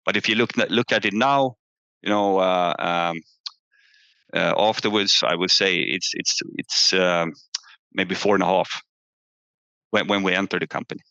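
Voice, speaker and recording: A man presenting company results as an executive on a call, halting and often repeating words as he searches for phrasing. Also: deep voice, sharp tone. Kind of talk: earnings call presentation and answers. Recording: muffled, echoey room on online call.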